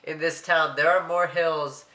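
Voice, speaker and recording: Young man, congested, rambling and a bit anxious, bright tone in an echoey room. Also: on the high side, steady tone, unhurried. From Maryland, USA. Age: young adult. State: stuffy, congested. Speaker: vlogger. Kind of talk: casual vlog monologue.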